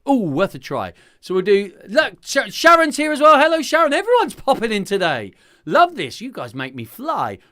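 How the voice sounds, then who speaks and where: Bright-voiced, chatty man hosting a stream. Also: high voice, wildly swooping pitch, moderate pace. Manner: chatty, rambling, self-deprecating, jokey. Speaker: singing group leader and livestream host. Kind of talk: casual livestream chat to viewers. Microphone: talking microphone beside a separate vocal mic.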